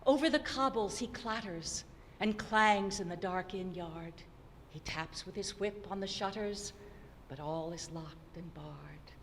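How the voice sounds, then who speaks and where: A woman giving a dramatic poetry recitation, theatrical and deliberate, swinging between hushed and declamatory delivery. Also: medium pitch. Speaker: poetry performer. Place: opera house hall, live performance. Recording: noisy recording in a reverberant hall.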